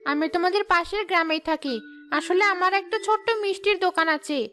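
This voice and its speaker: An actress playing a polite, pleading village stranger who keeps a sweet shop, in a cartoon story. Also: female voice, very high voice, quick pace, pushed and bright, a little hiss or hum. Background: music.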